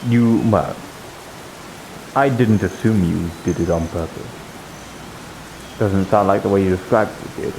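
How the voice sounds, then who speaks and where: A man playing a cautious, reserved, learned character in an improvised fantasy roleplay, gravelly, with a measured, hesitant delivery. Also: sits low, background noise. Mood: thoughtful, explaining.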